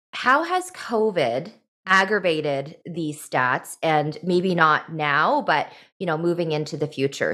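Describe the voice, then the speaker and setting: Female interview host, notably low-pitched voice, conversational questioning. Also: fairly bright tone. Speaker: podcast host.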